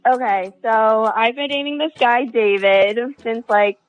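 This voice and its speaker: A woman's voice: fairly high pitch, a little slow, no echo, muffled recording, soft background music. A woman calling a radio show, talking casually and candidly in a hesitant, wordy way. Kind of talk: casual, candid relationship talk.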